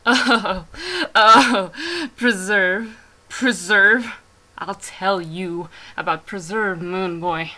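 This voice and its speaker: Lady doing a feisty tomb robber, yelling indignant rant, sharp voice, fantasy drama. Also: medium pitch, very slow. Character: feisty, indignant grave-robbing scavenger, ranting wildly. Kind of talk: loud theatrical rant.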